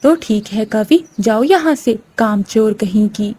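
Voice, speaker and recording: A woman's voice: on the high side, lively intonation, measured pace, warm tone, breathy edge. A woman playing a firm, dismissive farmer's wife in a children's cartoon, bossy and scolding. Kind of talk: Hindi children's cartoon voice acting.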